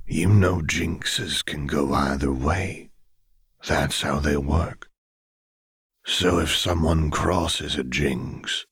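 A man voicing a calm, calculating father figure with soft menace in an audiobook, speaking in a measured, deliberate way. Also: very deep voice, husky, no echo, studio quality, voice pushed down, heavily acted. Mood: patient.

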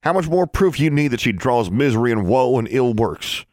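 A man's voice: on the low side, big pitch swings, moderate pace, no echo, clean studio sound, voice pushed up. A man voicing a righteous, accusing teenage country boy in an audiobook, with a rural Southern US accent and preachy, old-fashioned dialect. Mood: indignant accusing. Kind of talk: audiobook character dialogue.

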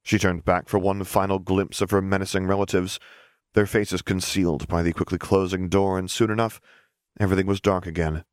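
A man reading a scripted story as an audiobook narrator, measured and dramatic. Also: low voice, flat delivery, clear, resonant tone, no echo, studio quality.

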